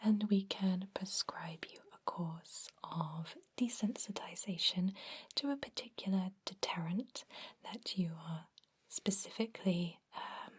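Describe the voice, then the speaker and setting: A woman whispering softly and reassuringly in an ASMR roleplay as a kindly vampire doctor. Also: mid-range voice, husky. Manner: soft, reassuring, unhurried whisper. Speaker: ASMR performer playing a doctor.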